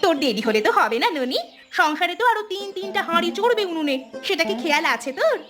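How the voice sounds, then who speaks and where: A woman voicing a sharp-tongued, selfish village aunt in a cartoon, scolding and grumbling. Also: very high-pitched, expressive, quick pace, music in the background. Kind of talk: Bengali cartoon dubbing.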